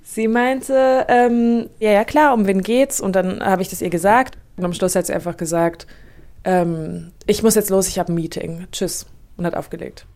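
A woman's voice: medium pitch, moderate pace, a little mellow, no echo. A woman hosting and reporting in a conversational investigative podcast narration style. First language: German.

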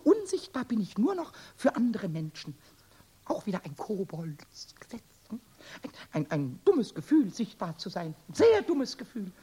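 Squawky, high-pitched little kobold. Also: male voice, full character voice. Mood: confiding. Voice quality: raspy, squawky. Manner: excitable, stammering, drawn-out exclamations. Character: small cheeky red-haired male kobold, rhyming, vain, easily offended. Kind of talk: children's radio play acting.

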